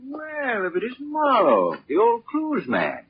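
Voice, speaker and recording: Casting: a sneering hotel clerk, very raspy, wildly swooping high voice, vintage radio. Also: male voice, wildly swooping pitch, slow pace, heavily acted. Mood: mocking. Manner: sarcastic. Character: sneering, greeting male hotel day clerk. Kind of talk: 1940s radio drama acting. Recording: dull, muffled 1940s radio recording.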